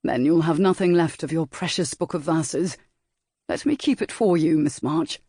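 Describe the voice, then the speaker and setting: A woman's voice: deep voice, normal speed, dark tone, dry recording, clean studio sound. A woman reading a teasing aristocratic rake. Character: languid, teasing aristocratic rake, adult man.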